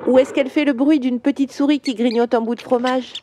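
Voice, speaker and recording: Woman playing a gentle little girl. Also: high-pitched, steady tone, fairly quick, slight background noise, natural voice. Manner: soft, patient, guiding. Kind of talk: children's audio story.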